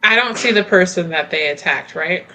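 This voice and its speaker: A woman's voice: lowish voice, animated, normal speed, pushed and bright, soft background music, low-quality recording. A woman talking casually as a player in a tabletop roleplaying game. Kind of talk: casual questioning game talk.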